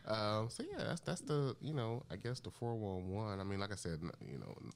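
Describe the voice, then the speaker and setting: Man, 30s, podcast co-host, very deep voice, swooping animated pitch, laid-back joking, laughs often. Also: a little fast, vocal fry. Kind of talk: casual chat.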